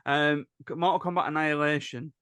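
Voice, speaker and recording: A man's voice: a little high, steady tone, fairly quick, pushed and bright, clear, resonant tone, no room sound. A man leading a casual film discussion as a podcast host. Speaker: teacher.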